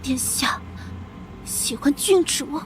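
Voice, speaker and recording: A woman voicing a resentful young maid who pines for the prince, in an animated drama. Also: sits high, slow, slight background noise, poor audio. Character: jealous young palace maid turned consort.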